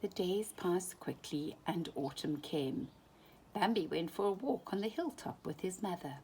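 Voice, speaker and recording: Woman, storybook narrator, lively swooping delivery, unhurried, faint background noise. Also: lowish voice. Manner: expressive, dramatic, often dropping to hushed tones. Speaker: storybook reader. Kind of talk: animated children's storytime narration.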